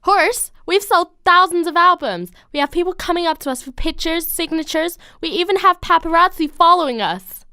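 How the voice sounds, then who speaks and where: A woman's voice: extremely high pitch, expressive, moderate pace, sharp tone, no room sound, studio quality, heavily acted. A woman voicing an eager, ambitious chicken in an audio story, with an insistent manner. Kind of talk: children's story character acting.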